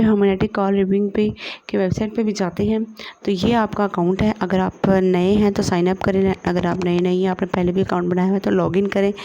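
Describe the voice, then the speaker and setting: A woman with a Pakistani accent presenting an informal screen-share tutorial, halting often and leaning on repeated filler phrases. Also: mid-range voice, warm tone, highs cut off.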